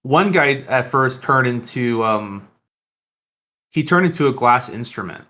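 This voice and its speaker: A man's voice: mid-range voice, expressive, a little slow, pushed and bright. A man co-hosting a podcast in casual, rambling, jokey conversation. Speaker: podcast co-host.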